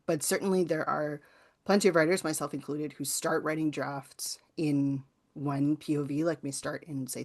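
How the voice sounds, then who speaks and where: A woman's voice: sits low, vocal fry. A woman guest author answering audience questions informally, in a thoughtful, hedging, conversational way. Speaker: guest author and workshop presenter.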